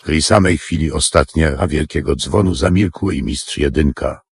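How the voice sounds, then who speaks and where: A woman's voice: extremely low pitch, moderate pace. A woman reading scripted story narration for an audio drama.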